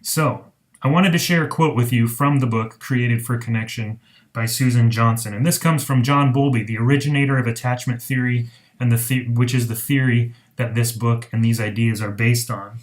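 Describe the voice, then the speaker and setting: A man speaking as a marriage counselor in an informal explainer, earnest and reflective, with deliberate pauses. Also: mid-range voice, steady tone. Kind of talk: informal explainer talk to camera.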